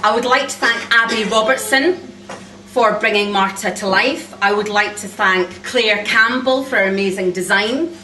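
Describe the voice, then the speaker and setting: Woman author doing a thank you speech at an event, kinda halting, roomy echo, mic far away. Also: medium pitch, bright, forceful tone. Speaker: author speaking at own book launch. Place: cafe event room, indoors. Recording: echoey room recorded from far off.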